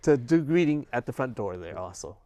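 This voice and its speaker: An older man, a retired teacher whose first language is Cantonese, answering interview questions in an earnest, unhurried way. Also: medium pitch, sing-song, mellow. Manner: earnest, unhurried, a few false starts. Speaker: festival volunteer guest.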